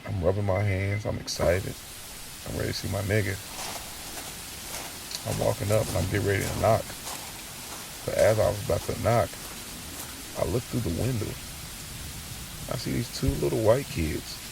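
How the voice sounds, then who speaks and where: A man playing a streetwise young man just out of jail, telling his story conversationally in casual slang, with a gravelly voice, in an audio drama. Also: on the low side, distant mic, noisy background. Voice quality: gravelly, creaky.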